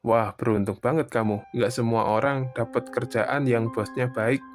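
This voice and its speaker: A man's voice: medium pitch, level tone, normal speed, natural voice. Man acting chatty big-brother type, music behind. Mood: friendly, curious. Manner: casual, advising, teasing. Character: friendly, teasing older-brother figure, married father. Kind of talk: casual animated dialogue. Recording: voice over prominent background music.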